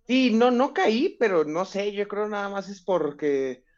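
Mexican man, slightly high, muffled line. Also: expressive, a crowd in the background. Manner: thoughtful, halting, many restarts. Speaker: guest fighter. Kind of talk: interview answers.

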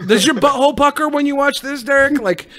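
A man's voice: very high voice, quick pace, a crowd in the background. A man co-hosting a podcast, giving casual, joking commentary in slangy, sweary language with mock exasperation. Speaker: podcast co-host who raps.

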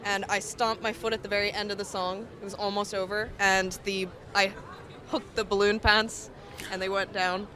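A woman's voice: mid-range voice, little pitch movement, normal speed, background noise. A young woman from Ireland, interviewed on a city street, telling a self-deprecating story.

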